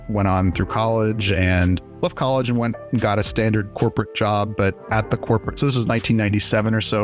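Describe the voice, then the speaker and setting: Guest: forties man, low voice, rambly and self-mocking, faint music under. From USA. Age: 40s. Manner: rambling, self-deprecating, laughs often. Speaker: indie game developer guest. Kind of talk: casual interview.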